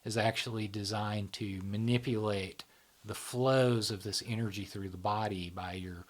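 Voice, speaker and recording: A man's voice: on the low side, steady tone, moderate pace. A man, the founder of a psychology channel, explaining ideas in casual conversation with many fillers and tag questions, often trailing into a near whisper.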